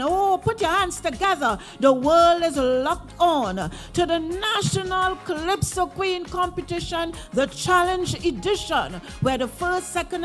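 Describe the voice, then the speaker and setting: A woman with a Trinidadian accent hosting a live stage event, excitable and hyping up the audience. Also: high-pitched.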